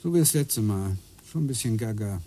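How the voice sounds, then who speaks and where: A man's voice: on the low side, lively intonation, brisk, background noise, natural voice. An actor playing a brooding man obsessed with physics, musing and explaining as he talks, in a radio play. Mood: thoughtful. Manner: musing, explaining, drifts into whispers. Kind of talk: radio drama acting.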